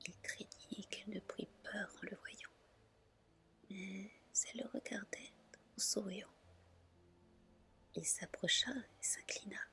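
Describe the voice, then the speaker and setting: A woman reading a story aloud as ASMR in a breathy whisper, soft, gentle and unhurried. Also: medium pitch, fairly even intonation.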